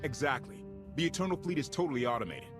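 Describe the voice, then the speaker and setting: Man playing easygoing, mid-pitched starship pilot. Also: big pitch swings, quick pace, music in the background, lightly acted. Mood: animated, casual. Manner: casual, conversational. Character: easygoing, enthusiastic male starship pilot and tinkerer. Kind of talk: naturalistic video game acting.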